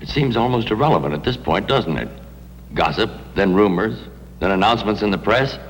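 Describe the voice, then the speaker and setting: Guy acting solemn dry archbishop, really deep voice, formal, big reverb, drama interview. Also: lively intonation, a little hiss or hum, close to an everyday voice. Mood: wry, solemn. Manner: measured, formal. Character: grave, dignified, dryly wry archbishop. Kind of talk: acted documentary-style interview.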